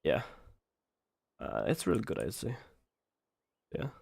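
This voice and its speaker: A man's voice: fairly low pitch, wildly swooping pitch, slow, gravelly, a little mellow, breathy edge, no echo. A man with a Swedish accent chatting casually on a gaming livestream, hesitant and rambling as he thinks aloud. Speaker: live streamer.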